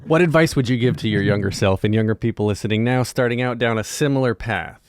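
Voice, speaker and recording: A man's voice: medium pitch, fast talker, a little hiss or hum. A man speaking casually as an interviewer and podcast host.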